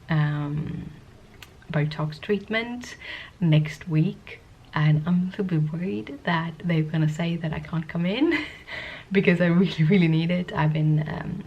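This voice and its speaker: A woman vlogger with a sniffly cold, chatting to the camera in a soft-spoken, rambling, hesitant way. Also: on the low side, creaky, husky, muffled recording. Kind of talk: casual talking-to-camera vlog.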